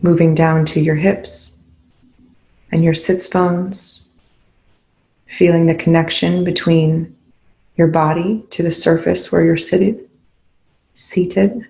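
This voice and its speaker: Meditation guide, calm and instructive, mid-pitched with noticeable vocal fry, leaving space between phrases. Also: takes it slowly, pauses often, rough recording.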